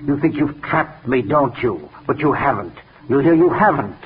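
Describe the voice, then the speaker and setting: Arrogant murderer, old lo-fi radio. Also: male voice, medium pitch, lively intonation, normal speed, breathy edge. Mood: defiant. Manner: pompous, contemptuous, theatrical. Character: arrogant male murderer, raving self-declared genius. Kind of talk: 1940s radio drama acting. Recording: lo-fi 1940s radio recording, background noise.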